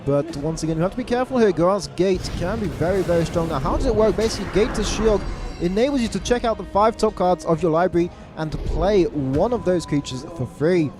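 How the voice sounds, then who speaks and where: High-voiced male esports caster. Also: animated, over music. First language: English. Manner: quick self-corrections, stressed repeated words. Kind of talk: live game commentary. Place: event venue abroad.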